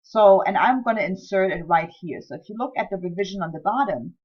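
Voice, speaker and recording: A woman's voice: mid-range voice, animated, brisk, husky, muffled recording. A woman whose first language is German, teaching scientific writing in a chatty recorded lecture full of ums and self-deprecating asides. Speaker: writing instructor.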